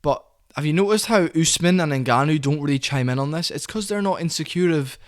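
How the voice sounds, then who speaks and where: A man rants about fighters in a heated, opinionated way, slipping into put-on voices when he quotes them. His voice sits on the higher side for a man. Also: brisk. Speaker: commentary channel host. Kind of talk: ranting fight commentary.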